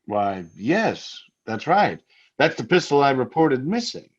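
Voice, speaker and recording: An actor playing a skeptical, protective, indignant husband in a radio mystery, with a blustery, emphatic delivery. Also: male voice, mid-range voice, sing-song, a little slow, slightly rough, lo-fi sound. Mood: taken aback. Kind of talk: old-time radio mystery drama acting.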